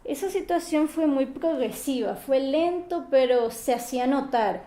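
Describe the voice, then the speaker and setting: A teenage woman from Maracaibo with a Venezuelan accent, speaking calmly and in a measured way as she is interviewed. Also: high-pitched, echoey room.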